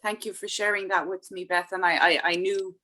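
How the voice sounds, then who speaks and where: A woman's voice: mid-range voice, fairly even intonation, brisk, sharp tone. A woman speaking as an interviewer in an attentive one-to-one conversation.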